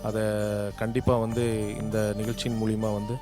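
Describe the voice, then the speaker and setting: A man with a fairly low voice and a Tamil Nadu accent gives an informal introduction as a singing contestant. He pauses often as he goes. Guitar-like music plays clearly behind his words. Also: monotone. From Coimbatore, Tamil Nadu, India.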